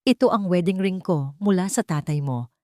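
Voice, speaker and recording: A woman's voice: on the low side, animated, moderate pace, mellow, no echo, studio quality, natural voice. A woman voicing a loving elderly mother in a story, tender and earnest, with a Filipino accent. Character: loving, gentle elderly mother giving her blessing.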